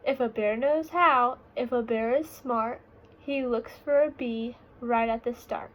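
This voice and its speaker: A woman's voice: fairly high pitch, a little slow, a bit bright, poor audio. A woman voicing a confident, boastful father bear from a children's storybook in sing-song rhyme. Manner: sing-song rhyming, self-assured.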